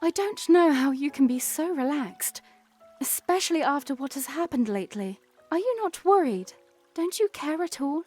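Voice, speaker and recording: A woman's voice: high voice, animated, normal speed, a little mellow, soft background music. An actress voicing an earnest, worried young princess in an audio drama who teases her knight.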